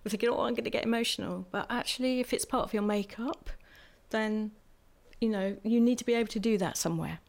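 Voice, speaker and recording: A woman speaking earnestly on a church panel, measured, pausing often with fillers. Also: on the high side, vocal fry. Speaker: church speaker who prophesies.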